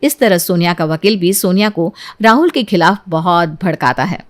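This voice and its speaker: A woman's voice: fairly low pitch, expressive, normal speed. A woman storyteller reading a scripted narration.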